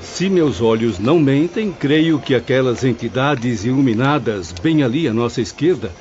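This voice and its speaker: A man's voice: mid-range voice, lively intonation, moderate pace, darkish tone, lightly acted. A Brazilian man playing a composed, learned spirit professor who speaks formally in an audiobook drama. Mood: interested. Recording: slightly dull audio over music.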